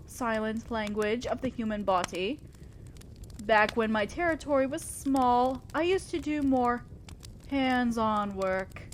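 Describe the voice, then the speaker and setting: A woman's voice: a little high, a little hiss or hum. A woman playing an imperious, old-fashioned female demon lord in an audio roleplay, speaking formally and with condescending concern to the listener. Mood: nostalgic. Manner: commanding, formal, measured, condescending yet concerned. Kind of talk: scripted roleplay monologue addressed to the listener.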